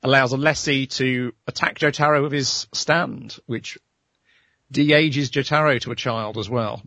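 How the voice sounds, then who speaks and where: A man's voice: mid-range voice, fairly even intonation, unhurried, sharp tone, dry recording. A man hosting a casual chat show, retelling an episode in a wry, giggly way while losing his voice. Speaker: podcast host recapping an episode.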